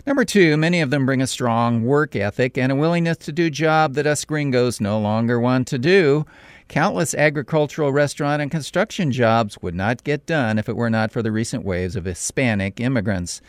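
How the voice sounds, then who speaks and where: A middle-aged man from California, a pastor, reading a scripted radio commentary in a deliberate, emphatic way with sudden rises in pitch on key points. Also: no room sound, studio quality.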